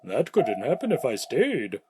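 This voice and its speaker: A man playing Santa Claus as a jolly, kindly old man with a hearty, drawn-out delivery in an audio play. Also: mid-range voice, huge pitch swings, noisy background. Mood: kindly, reassuring.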